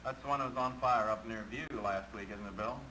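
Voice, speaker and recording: A man's voice: on the low side, fairly quick, bright, forceful tone. A man playing a matter-of-fact American railroad shop man in scripted 1950s training film dialogue. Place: conference room. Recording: noisy 1950s film soundtrack.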